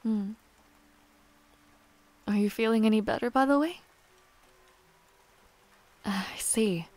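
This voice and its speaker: Slow-spoken, tender young villainess, acted by a woman. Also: a little high. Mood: tender, hesitant. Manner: formal, halting, softly spoken. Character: young noble villainess, proud yet shy and tender. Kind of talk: intimate romantic audio roleplay.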